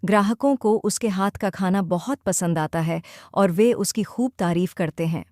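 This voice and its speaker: A woman's voice: medium pitch, normal speed, darkish tone, slight background noise. A woman narrating a scripted moral story as a storybook narrator.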